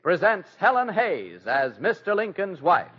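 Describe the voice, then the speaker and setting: A man's voice: sits high, very animated, a little slow, slightly rough. A man giving a formal, declamatory scripted announcement in the manner of 1940s radio. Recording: dull 1940s radio recording with music.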